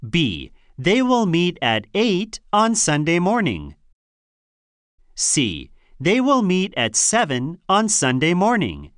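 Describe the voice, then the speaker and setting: A woman reading scripted lines and answer options for an English listening test, slow and carefully enunciated. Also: fairly low pitch, huge pitch swings, dry recording, clean studio sound.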